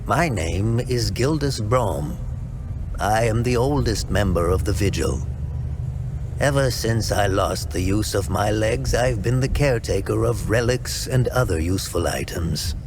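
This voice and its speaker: An actor playing an old, courteous caretaker of relics in a secret order, speaking in an unhurried, formal, matter-of-fact way. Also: male voice, lowish voice, lively intonation, background noise. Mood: calm, welcoming. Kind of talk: video game character dialogue.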